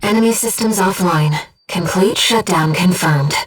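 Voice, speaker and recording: An actress playing a gentle, devoted female voice in a pilot's head, a composed and precise mission guide in a video game. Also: fairly low pitch, a little slow, slightly rough, recorded from a distance, low-quality recording. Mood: composed, focused. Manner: composed, precise, earnest delivery. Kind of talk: video game voice acting, tactical briefing.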